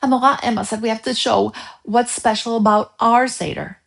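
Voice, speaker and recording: A woman's voice: a little high, fairly even intonation, normal speed, vocal fry, a bit bright, voice pushed up. A woman voicing a bubbly, eager young schoolgirl in a children's storybook. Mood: excited.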